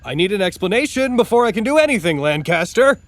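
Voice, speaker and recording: A man's voice: high voice, big pitch swings, fast, a little hiss or hum. A man acting in an audio drama as an earnest, exasperated staffer caught between feuding factions. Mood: alarmed, questioning. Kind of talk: audio drama acting with formal log narration.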